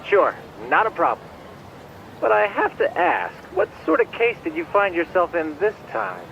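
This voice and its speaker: A man's voice: high voice, huge pitch swings, moderate pace, vocal fry, muffled recording, a little hiss or hum, heavily acted. An actor playing a polite, helpful male computer geek in a video game dub, articulate and precise. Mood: helpful, curious. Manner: courteous, articulate.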